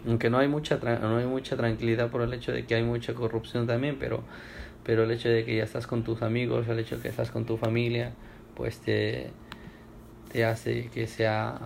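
A man's voice: medium pitch, completely flat delivery, moderate pace, vocal fry, a little mellow, a little hiss or hum. A young man from Ecuador answering oral history questions at home, hesitant with many fillers and restarts. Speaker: interviewee.

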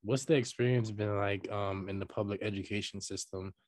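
A man interviewing over a video call for an oral history project, asking loose, halting questions with fillers. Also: fairly low pitch, fairly even intonation, darkish tone, no echo.

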